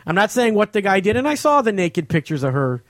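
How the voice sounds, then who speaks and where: Male comedy podcast host, higher-pitched, blunt and joking, recorded close and dry. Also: very animated, a little fast, fairly bright tone, dry recording. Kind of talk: casual comedic podcast banter.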